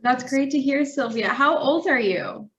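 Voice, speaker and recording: A woman's voice: high voice, animated, measured pace, background noise. A woman moderating a video call discussion, friendly and encouraging. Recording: dull lo-fi call audio.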